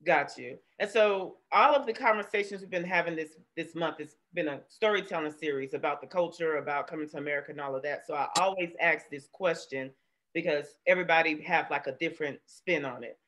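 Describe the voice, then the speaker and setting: A woman in her thirties hosting a casual interview over a video call, chatty and quick to laugh. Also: deep voice, fairly bright tone. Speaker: host. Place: room at home. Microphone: webcam on video call.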